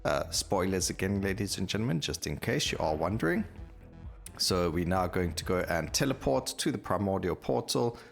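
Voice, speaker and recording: A man's voice: sits low, sing-song, normal speed, gravelly. A man hosting a gaming video, casually thinking aloud and explaining his choices to viewers as he plays. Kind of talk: casual gameplay commentary. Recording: game music behind the voice. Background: video game music.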